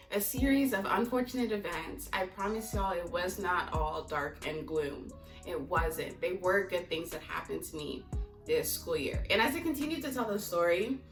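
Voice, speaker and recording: A young American woman giving a casual, candid vlog talk to her viewers, chatty and rambling as she goes. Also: medium pitch. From United States (Maryland), teens in Mozambique. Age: young adult. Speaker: vlogger. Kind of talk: casual chat to camera. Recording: echoey room, distant mic, music behind.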